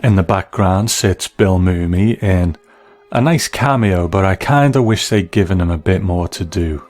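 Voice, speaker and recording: A man's voice: deep voice, normal speed, darkish tone, faint music behind. A man narrating a scripted video essay as a reviewer of film and television.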